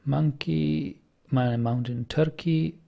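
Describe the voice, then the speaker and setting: A man's voice: a little high, fairly even intonation, slow pace, vocal fry, mellow. A man with a Czech accent, a native Czech speaker, giving casual gameplay commentary and answering chat as a streamer, thinking aloud and often restarting his words. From Czech Republic. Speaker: game streamer.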